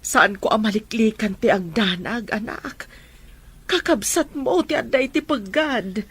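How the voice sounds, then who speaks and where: A woman's voice: a little high, unhurried, a bit bright, slightly rough, heavily acted. A woman with an Ilocano Filipino accent playing a worried older mother in an audio drama, fretting plaintively. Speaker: drama voice actor.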